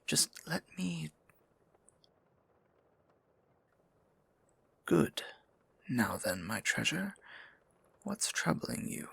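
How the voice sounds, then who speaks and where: A man playing a tender, possessive, courtly dark sorcerer in an intimate fantasy ASMR roleplay, speaking formally in a breathy, gravelly near-whisper. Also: a little high, sing-song, dry recording, full character voice. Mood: gently soothing. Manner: formal, archaic endearments, slow deliberate phrasing.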